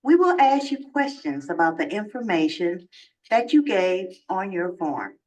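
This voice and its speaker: An actress playing a formal immigration officer who runs an interview in a measured, procedural way, heard from a video played at a distance. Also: female voice, lowish voice, sing-song, a little mellow.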